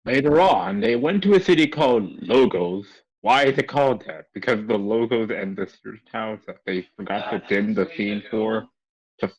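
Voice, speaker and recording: Husky man stumbling through a comic script. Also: on the low side, low-quality recording. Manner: halting, stumbling over words. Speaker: co-reader on a comedy livestream. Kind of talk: casual read-aloud narration.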